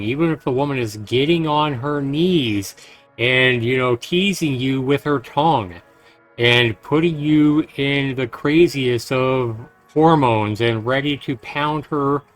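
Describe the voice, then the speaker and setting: Guy streaming a visual novel, reads it out and chats earnestly, soft music behind. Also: medium pitch. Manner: earnest, talking directly to listeners. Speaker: livestream host playing a visual novel. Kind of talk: reading game text aloud with casual commentary. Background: faint game music.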